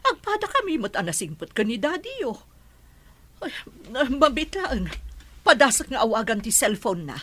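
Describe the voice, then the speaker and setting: A woman playing a worried, protective middle-aged mother in a Filipino radio drama, fretful and expressive. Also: a little high, normal speed, a little hiss or hum.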